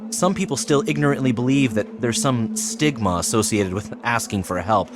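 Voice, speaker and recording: A man's voice: medium pitch, normal speed, lightly acted. An actor playing an earnest, persistent man posing as a psychiatrist in an audio drama, polite and persuasive. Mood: measured, persuasive. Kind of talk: full-cast audio drama acting. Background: music.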